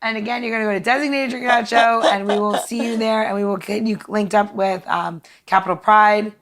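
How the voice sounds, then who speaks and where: A middle-aged woman hosts a podcast in a casual way. Her voice sits at a medium pitch. She talks informally and repeats phrases to make her point. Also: fairly even intonation, a little fast, a bit bright. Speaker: podcast host. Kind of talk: casual chat.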